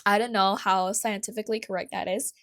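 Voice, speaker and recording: A young woman with a Filipino accent talks casually as a solo podcast host. Her pitch sits in the middle range for a woman. She tells stories in a chatty, personal way, wandering into asides and correcting her own words as she goes. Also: steady tone, brisk, a bit bright. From Philippines.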